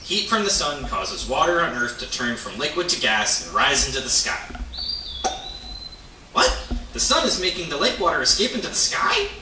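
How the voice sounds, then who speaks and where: An excitable young-hearted beaver puppet, acted out by a man, naive and curious, with a high voice that swings up and down. The delivery is exclamatory and easily upset, heard in an echoey room. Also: normal speed. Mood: puzzled. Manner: exclamatory, questioning, exaggerated. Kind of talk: children's puppet show acting. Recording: echoey room, distant mic, noisy.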